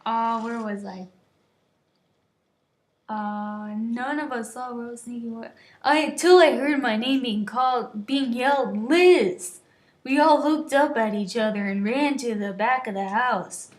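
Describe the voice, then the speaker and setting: High-voiced woman reading slowly in an echoey room. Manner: giggly, stumbling over words, shouting the quoted lines. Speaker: reader of an amateur online story. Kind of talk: dramatic reading of a story, with stumbles and casual asides. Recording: roomy, echoey voice recording.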